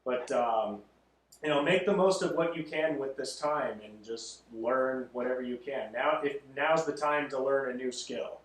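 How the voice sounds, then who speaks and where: A man's voice: medium pitch, measured pace. A man hosting a podcast, chatting casually and giving encouraging advice. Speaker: podcast host. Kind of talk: casual interview chat. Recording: roomy echo, mic at a distance.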